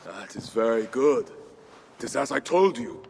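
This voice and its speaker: Brief: a fiery Viking leader, lively pitch, gravelly edge. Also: male voice, moderate pace, a little hiss or hum. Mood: angry. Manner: angry, commanding outbursts. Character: hot-tempered Viking chieftain, proud and vengeful. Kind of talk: video game cutscene. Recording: game audio in a reverberant space.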